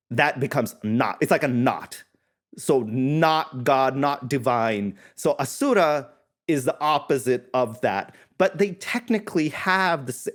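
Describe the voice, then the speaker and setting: A man from India talks as a guest on a casual podcast, explaining things thoughtfully and often correcting himself mid-sentence. His voice sits at an everyday middle pitch.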